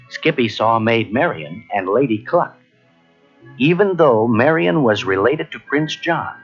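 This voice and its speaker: A man narrating a children's read-along story in a measured, expressive way. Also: medium pitch. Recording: dull cassette, faint music behind.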